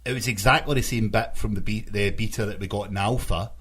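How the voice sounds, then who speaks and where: A man's voice: fairly low pitch, steady tone, fast, a bit bright, slightly rough. A man co-hosting a podcast, talking in a casual, conversational style. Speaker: podcast co-host. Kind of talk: casual chat about games.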